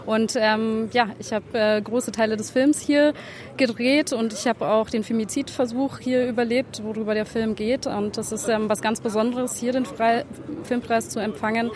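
A woman speaks hesitantly, filling pauses often. Her voice sits slightly high, outdoors with traffic around. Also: fairly even intonation, darkish tone. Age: 30s. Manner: hesitant, with many fillers. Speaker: award winner. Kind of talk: interview statement.

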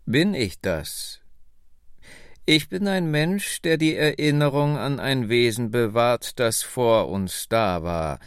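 A very old woman, as played by a male narrator tells her story with wistful pride. The delivery is deliberate and dramatic, with wide melodic swings and a gravelly grain. It is an audiobook character, captured close and dry. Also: mid-range voice, lively intonation, dry recording. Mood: firm, enigmatic. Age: 90s.

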